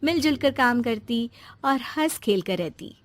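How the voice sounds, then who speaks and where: Woman narrator for a moral story, high pitched, scripted read, no room sound. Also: lively intonation, measured pace, dry recording.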